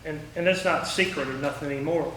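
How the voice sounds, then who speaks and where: Male speaker for an informal talk, folksy storyteller, forceful higher voice, distant in a very echoey room. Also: quick pace. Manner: folksy, anecdotal. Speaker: guest speaker, former air traffic controller. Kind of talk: informal public talk with question and answer. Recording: echoey room, distant mic, faint noise.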